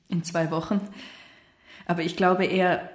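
A woman in her thirties in a naturalistic radio drama. Her voice sits a little low, soft and conversational with affectionate teasing, and rings in an echoey room. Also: level tone, takes it slowly. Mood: warm, wistful. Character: affectionate pregnant woman historian, loyal visitor. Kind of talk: naturalistic German radio play acting.

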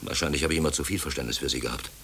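An actor playing a brusque, overworked middle-aged company director in a German radio play, officious and self-pitying. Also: male voice, extremely low pitch, racing, vocal fry, sharp tone, exaggerated voice. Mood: irritated. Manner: brusque, officious, brooding when alone. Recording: hissy old radio play recording.

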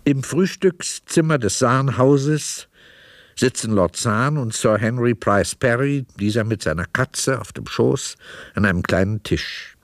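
A man narrating a radio drama from a script in a measured, descriptive way. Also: medium pitch, no echo.

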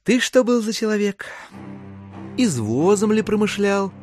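Sly gentleman thinking out loud, theatrical audiobook, really slow and drawly, voice swings up and down, teasing. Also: high voice, big pitch swings, soft background music. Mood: musing. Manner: musing, drawling, mockingly familiar. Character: Chichikov, shrewd gentleman musing aloud over dead serfs.

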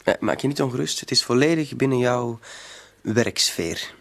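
A shady hustler in a radio drama. His mid-pitched voice has a gravelly creak, and he talks in a coaxing, insinuating way, hesitating now and then, with quiet menace underneath. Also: moderate pace. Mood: coaxing, evasive. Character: sly shady small-time crook, coaxing, quietly threatening. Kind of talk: Dutch-language radio play acting.

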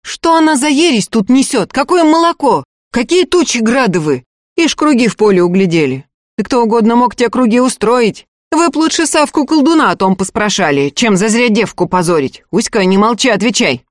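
A woman's voice: a little high, expressive, normal speed, no room sound, clean studio sound, voice pushed up, natural voice. A woman playing a scolding peasant mother. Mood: scolding anxious. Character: harsh anxious peasant mother Agafya.

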